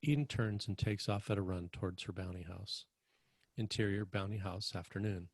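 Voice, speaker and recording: Low, gravelly male narrator. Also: moderate pace, no room sound. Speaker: narrator reading stage directions. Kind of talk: scripted narration.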